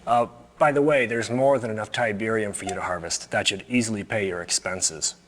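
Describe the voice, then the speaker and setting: An actor playing a smug, menacing right-hand man of a cult leader in a game cutscene, deliberate and condescending. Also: fairly low pitch, steady tone, fairly bright tone. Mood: condescending, threatening. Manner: deliberate, condescending, coolly threatening. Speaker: villainous mission briefer. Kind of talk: video game cutscene acting.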